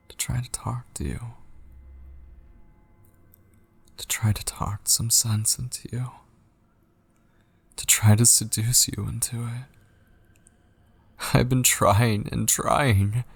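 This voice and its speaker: A man playing an obsessive, possessive kidnapper in an ASMR audio roleplay, seductive, menacing and pleading, coaxing in a gravelly, breathy voice that often slips into whispers. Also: lowish voice, no room sound, exaggerated voice. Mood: frustrated, pleading.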